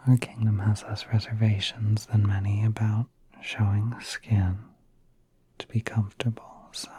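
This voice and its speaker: A man voicing a gentle, courteous spider prince in a soft, breathy, reassuring whisper for an ASMR roleplay. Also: on the low side, full character voice. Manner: soft, unhurried, reassuring, hesitant pauses.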